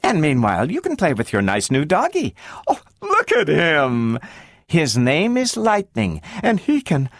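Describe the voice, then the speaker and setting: A man reading aloud and voicing a gentle, anxious mother who coaxes her child slowly. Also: on the high side, huge pitch swings, no room sound, voice pushed up. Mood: coaxing. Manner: slow and coaxing.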